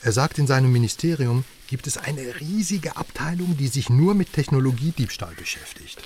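An actor in a radio drama playing a brash West German investigative journalist, chatty, sardonic and emphatic. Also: male voice, medium pitch, lively intonation, moderate pace, a little mellow, close to an everyday voice. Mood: eager, sardonic. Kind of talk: naturalistic radio drama dialogue. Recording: slightly distant voice, faint outdoor noise.

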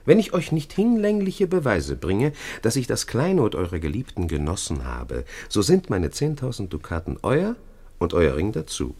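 Swaggering seducer, wildly animated and husky. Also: male voice, fairly low pitch, normal speed, exaggerated voice. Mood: boastful, provoking. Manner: boastful, theatrical, declamatory. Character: brash scheming male seducer, boastful noble gambler. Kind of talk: German radio play, classical stage acting.

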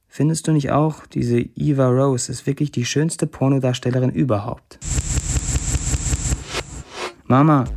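Guy playing young writer in a radio drama, brash n confiding monologue, medium pitch, bit of music under it. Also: darkish tone, lightly acted. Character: young Syrian writer, brash, intimate, morbidly candid. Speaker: lead actor reading a writer's status posts. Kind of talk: first-person literary monologue in radio drama.

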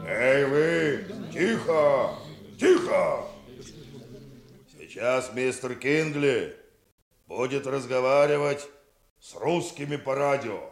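A man's voice: mid-range voice, animated, takes it slowly, vocal fry, long pauses. An actor playing a stern, curt, commanding father who keeps a lighthouse, in a 1960s radio drama. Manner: curt, authoritative.

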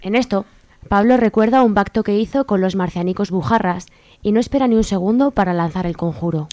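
A woman's voice: medium pitch, fairly quick, a little mellow. A woman narrating a comic radio sketch in a wry, slangy manner.

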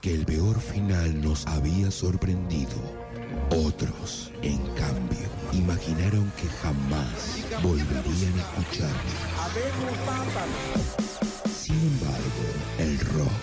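A man with a low voice narrates a scripted radio promo. He takes it very slowly over loud guitar music. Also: lively intonation.

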